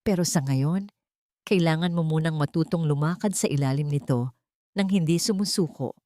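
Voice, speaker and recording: A woman voicing a calm, guarded but forgiving mother in an audio story, speaking gently and firmly. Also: sits low, no echo, lightly acted. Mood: gentle, reflective. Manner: measured, gentle, firm.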